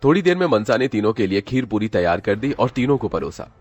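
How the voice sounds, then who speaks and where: A man's voice: on the low side, sing-song, fast talker, darkish tone, dry recording, muffled recording, faint music behind. A man narrating a scripted dramatic story as its storyteller.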